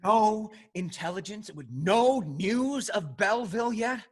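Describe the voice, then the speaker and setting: Very high, husky, excitable rascal played by a man. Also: measured pace. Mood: disheartened. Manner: exclamatory. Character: excitable, luckless rascal gallant in period comedy. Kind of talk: theatrical Restoration play acting.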